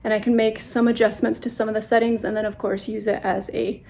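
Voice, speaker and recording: A woman's voice: mid-range voice, little pitch movement, quick pace, gravelly, dark tone, slight background noise. A woman chatting informally as she explains her photography setup step by step, in a casual tutorial vlog style. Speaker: photographer making self-portraits. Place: dining room at home.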